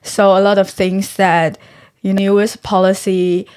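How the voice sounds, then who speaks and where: A woman's voice: mid-range voice, little pitch movement, a little mellow, clean tone. Earnest young woman from Taiwan. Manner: thoughtful, earnest, pauses to find words. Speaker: guest researcher. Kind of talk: conversational interview.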